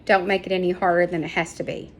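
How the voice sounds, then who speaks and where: A woman's voice: lowish voice, flat delivery, fast, close to an everyday voice. Woman reading as a curt, callous soldier man. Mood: menacing. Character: commanding, callous large Spanish soldier man. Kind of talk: children's audiobook reading.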